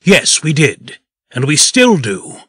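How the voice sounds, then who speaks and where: A man's voice: a little high, wildly swooping pitch, slow, a bit bright, slightly rough, no room sound, studio quality, full character voice. A man playing a pragmatic, decisive pig who leads a revolution in a comic dub. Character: firm male pig revolutionary leader, pragmatic comrade. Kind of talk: amateur comic dub acting.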